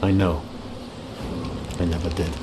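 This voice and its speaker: Deep, brooding dark warrior. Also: male voice, flat delivery, over loud music. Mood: grim, resolute. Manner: solemn, brooding, deliberate. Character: grim tormented warrior man turning dark and vengeful. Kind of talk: dramatic video game acting and narration.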